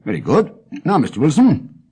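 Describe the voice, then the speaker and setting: An actor reading as a cool, amused gentleman detective with an RP English accent and a dry, clipped manner. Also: a little high, wildly swooping pitch, moderate pace, breathy edge, heavily acted. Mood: brisk. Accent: English, Received Pronunciation.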